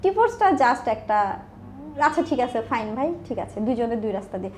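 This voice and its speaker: A woman's voice: on the high side, very animated, brisk, slight background noise. A woman with a Bangladeshi accent speaking candidly and emphatically in a conversational interview, mixing in English words. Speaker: interview guest, online business owner.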